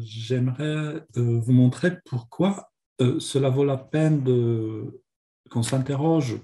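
A man's voice: medium pitch, dark tone. A man with a Polish accent and a cold, giving a hesitant academic lecture over a video call, with many fillers. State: has a cold. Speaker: seminar speaker. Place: home office on video call.

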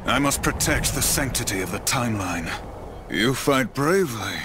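A man's voice: medium pitch, lively intonation, normal speed, noisy background, close to an everyday voice. A man voicing a solemn, portentous warrior companion in a fantasy game. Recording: music behind the voice.

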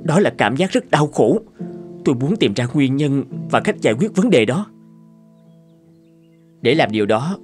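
A man voicing troubled, thoughtful doctors and professors in a cartoon drama, formal and measured. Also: medium pitch, close to an everyday voice. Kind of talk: animated cartoon drama dubbing.

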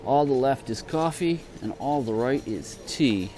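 A man's voice: mid-range voice, expressive, a little slow, warm tone. A man giving a casual shopping tour as a vlogger, offhand and hesitant, trailing off in fragments, recorded on a handheld camera in a large supermarket. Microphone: handheld camera from behind it. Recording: clear store ambience noise.

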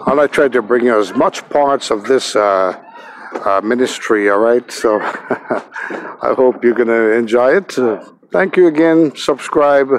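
A man hosting his own vlog from a church event, chatting, laughing and urging viewers to subscribe. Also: medium pitch. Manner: chatty, laughing, repeats "of course". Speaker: vlogger host. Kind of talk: casual vlog chat. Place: church banquet hall, then outdoors at night. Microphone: handheld phone camera.

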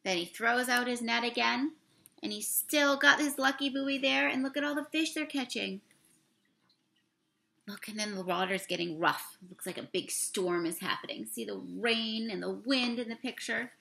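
A woman's voice: on the high side, normal speed, gravelly, pushed and bright. A young woman reading a picture book aloud to children, expressively drawing out words, talking through the pictures and asking her listeners questions. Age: young adult. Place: small room indoors.

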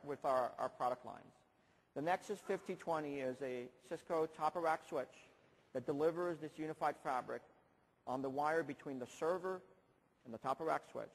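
Keynote presenter, male, 40s, explanatory and technical, often restarting phrases, reverberant hall. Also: on the high side. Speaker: keynote speaker. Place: large conference hall stage. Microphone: lapel mic.

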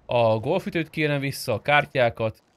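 A man's voice: mid-range voice, smooth, no room sound. A man streaming a roleplay game, talking casually and argumentatively in character, with some swearing.

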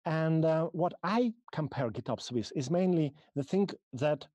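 Russian-accented male conference speaker, second-language English, hesitant, fairly high, mellow voice, dry close sound. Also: animated, no room sound. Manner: halting, many ums and restarts. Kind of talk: technical conference talk. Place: small room, video call.

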